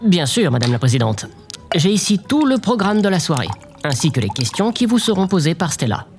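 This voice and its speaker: An actress plays a servile, socially awkward assistant in a comic audio drama. The voice is low for a woman and swings widely in pitch, halting and oddly intense, with music behind it. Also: very animated, darkish tone. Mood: deferential. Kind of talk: comedic audio drama acting.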